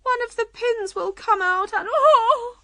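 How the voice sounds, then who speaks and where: A woman voicing an eccentric, scatterbrained old storybook queen with a shrill, emphatic manner. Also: very animated, measured pace, fairly bright tone, no echo, exaggerated voice. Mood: shrieking, distressed. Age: older. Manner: shrill, emphatic, matter-of-fact about nonsense.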